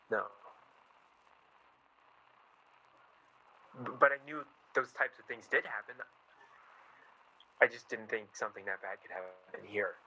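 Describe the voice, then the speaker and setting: A man's voice: lowish voice, sharp tone, slightly rough, heavily acted. A man playing a brash, idealistic young American journalist in love in a naturalistic radio play. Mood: guarded. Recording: dull lo-fi recording with faint noise.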